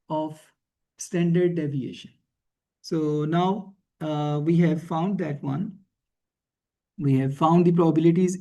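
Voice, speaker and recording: Slow, mellow male tutorial instructor. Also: a little high. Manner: halting, thinking aloud, often checks with "right?". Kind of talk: screencast programming and statistics tutorial.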